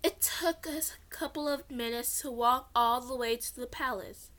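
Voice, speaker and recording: A young woman reads a story aloud in a bright, fairly high voice. She is casual and chatty, drifting between narration and rambling, self-deprecating asides. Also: moderate pace. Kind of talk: casual chatty read-along of own story.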